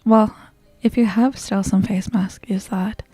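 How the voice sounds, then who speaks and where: A woman livestreamer chatting casually in a soft, sleepy ASMR style that often slips toward whispering, close to the microphone. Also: mid-range voice, fairly even intonation, a little slow, slightly rough, no echo, soft background music. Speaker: livestreamer greeting chat and hosting a game stream.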